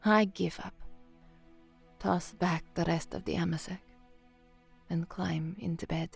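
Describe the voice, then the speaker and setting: An actress playing a young newsreader in a science fiction audio drama, weary and anxious. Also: female voice, on the low side, steady tone, measured pace, vocal fry, faint music behind. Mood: weary, introspective. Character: young slum-born newsreader, anxious, weary, hard-drinking narrator. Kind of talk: audio drama first-person narration and newsreading.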